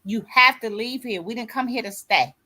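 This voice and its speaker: A woman's voice: fairly high pitch, normal speed, sharp tone. A woman in her sixties hosting a conversational radio show, talking in an emphatic, exhorting way and often checking that listeners follow. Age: 60s. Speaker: host and author mentoring co-authors. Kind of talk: motivational conversational radio talk.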